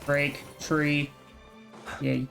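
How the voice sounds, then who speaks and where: Game streamer, female: low-pitched, unhurried and sarcastic, casual running commentary. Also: flat delivery, creaky, fairly bright tone, faint music behind.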